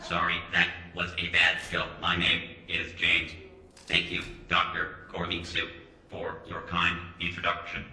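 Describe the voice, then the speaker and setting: Deep, monotone, literal-minded robot. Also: male voice, heavily acted. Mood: polite, matter-of-fact. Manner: measured, formal, flatly literal. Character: earnest early semi-autonomous robot, literal-minded and politely proud. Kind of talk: radio drama acting. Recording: roomy echo.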